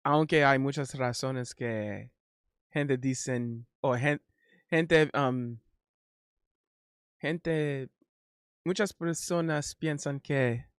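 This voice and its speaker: A Haitian man speaking Spanish as a learner, chatting casually on a stream, halting and correcting himself often. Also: mid-range voice, clear, resonant tone, no echo, clean studio sound. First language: not Spanish. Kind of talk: casual chat.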